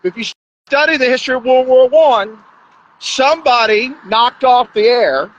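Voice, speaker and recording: A man's voice: extremely high pitch, normal speed, a bit bright. A man talking in a blunt, crude, rambling monologue as a podcast host. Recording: dull lo-fi sound.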